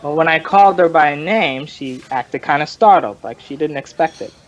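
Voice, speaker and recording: An actor playing a folksy, rambling male informant who tells his story in colloquial, drawn-out fashion, in old-time radio crime drama style. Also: a little high, dull audio, close to an everyday voice.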